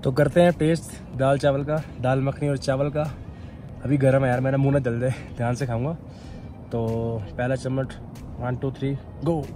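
A man's voice: medium pitch, flat delivery, normal speed, background noise. A young man chatting casually and informally to camera as a solo travel vlogger, outdoors. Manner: informal, bro-peppered talk. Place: outdoors at a mountain campsite.

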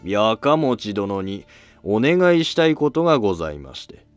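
A man playing a calm, scheming, self-sacrificing court noble in formal archaic speech for a history drama. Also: on the low side, moderate pace, no room sound, faint music behind. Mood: grave resolve.

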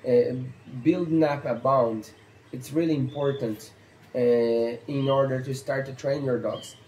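A man in his thirties with a Brazilian accent, a stock dog trainer explaining training basics in halting, earnest English, seated on an outdoor patio. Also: mid-range voice, mellow, reverberant, slight background noise, rough recording. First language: Portuguese. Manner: halting, earnest, non-native phrasing with fillers. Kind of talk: instructional talk to camera.

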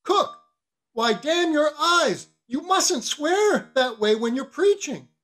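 Storybook part: a teasing, bossy male ship's mate, a woman reader voicing him, slow and scolding. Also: sits high, expressive, fairly bright tone, pitched above the performer's own voice, full character voice. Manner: scolding, commanding.

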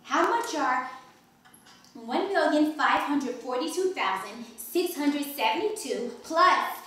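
A young woman in a staged play reading, playing a bright, chatty, boastful twelve-year-old girl from Trinidad. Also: high-pitched, lively intonation, slow, full character voice. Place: wood-panelled mansion room, indoors. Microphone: distant camera microphone. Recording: very echoey room, distant mic, faint hiss.

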